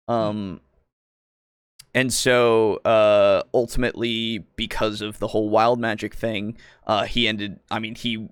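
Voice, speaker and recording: A man's voice: mid-range voice, clear, resonant tone, no room sound, clean studio sound. A man co-hosting a podcast, casually discussing roleplaying games in a hesitant, rambling way, as if thinking aloud. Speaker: podcast co-host.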